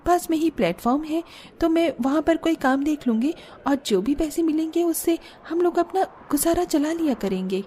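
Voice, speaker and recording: High-voiced, plaintive young daughter-in-law. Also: female voice, expressive, normal speed, hardly any pauses, dark tone, slight background noise. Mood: worried. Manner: earnest, plaintive. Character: distressed young village daughters-in-law, pleading and worried. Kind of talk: cartoon drama acting.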